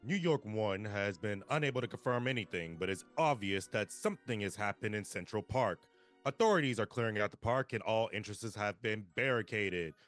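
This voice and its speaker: Guy doing earnest tv news reporter lady, animated delivery, music behind. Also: fairly low pitch, moderate pace. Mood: serious. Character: brisk female TV field reporter, earnest. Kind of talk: news report in a live table read.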